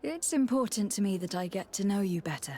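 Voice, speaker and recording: A woman's voice: medium pitch, animated, a little fast, dark tone, natural voice. An actress playing a courteous, caring noblewoman emissary in a video game, polite and composed in formal phrasing. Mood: considerate. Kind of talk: video game dialogue acting.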